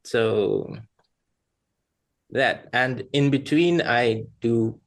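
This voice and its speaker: A man's voice: mid-range voice, drawn-out words. A man from Rwanda chatting casually as a podcast guest, candid and self-deprecating. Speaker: podcast guest, freelance creative. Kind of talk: casual personal chat.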